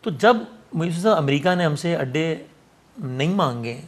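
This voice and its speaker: A man's voice: on the high side, animated, unhurried. A man with a Pakistani accent hosting a political interview, probing and interjecting in a conversational way with English mixed in. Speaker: TV news interviewer.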